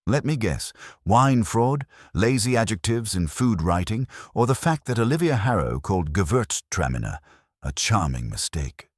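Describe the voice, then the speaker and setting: A man's voice: lowish voice, very animated, moderate pace, no echo, clean studio sound, pitched above the performer's own voice. A man voicing a wry, needling detective's assistant in a storybook reading. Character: wry, quick-witted male detective's assistant and sidekick.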